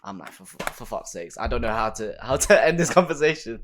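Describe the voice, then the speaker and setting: A young man co-hosts a podcast, talking fast in a mid-range voice. He banters and teases in street slang. His talk stays loose and playful. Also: mid-range voice, sing-song, fairly bright tone. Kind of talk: casual podcast chat.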